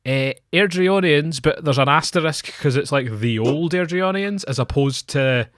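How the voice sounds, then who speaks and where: A man's voice: fairly high pitch, moderate pace, a bit bright. Scottish guy cohosting a footy podcast, casual chat, dry sound no echo. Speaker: football podcast co-host.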